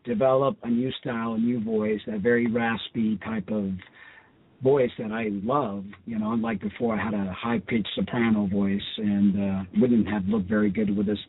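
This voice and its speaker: A man's voice: fairly low pitch, moderate pace, slight background noise. A man hosting a radio show and interviewing casually. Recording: muffled, thin phone-like radio audio.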